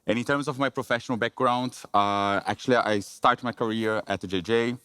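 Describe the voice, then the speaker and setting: A man in his thirties from Brazil with a Brazilian Portuguese accent, giving a conference presentation in a halting way with many fillers and restarts. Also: mid-range voice, steady tone, pushed and bright, clear, resonant tone, no room sound.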